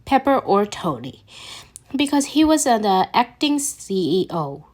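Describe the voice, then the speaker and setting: A woman reading fan fiction aloud as an amateur narrator, with a halting delivery that often stumbles and corrects itself. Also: medium pitch, very animated, a little mellow. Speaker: podfic reader.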